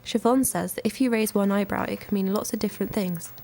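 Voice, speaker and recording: A woman playing a measured teacher explaining things in a radio drama. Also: mid-range voice, darkish tone, slight background noise, lightly acted.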